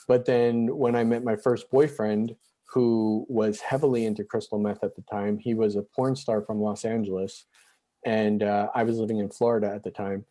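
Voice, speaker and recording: A man in his forties telling his own life story as an interview guest, halting, with frequent restarts and fillers. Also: fairly low pitch, dark tone. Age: 40s. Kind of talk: candid personal storytelling.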